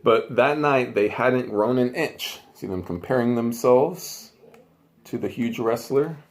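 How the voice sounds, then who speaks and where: A man's voice: medium pitch, unhurried. A man reading a children's storybook aloud in an informal, chatty way, with casual asides. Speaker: amateur storybook reader.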